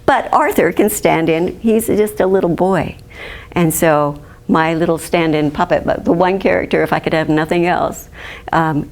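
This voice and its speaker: A woman's voice: deep voice, a little mellow. A woman in her fifties, a children's librarian, teaching a chatty demonstration lecture and laughing often. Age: 50s.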